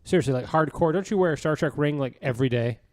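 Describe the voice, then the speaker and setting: Man, somewhat high voice, joking podcast host in casual group chat. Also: quick pace.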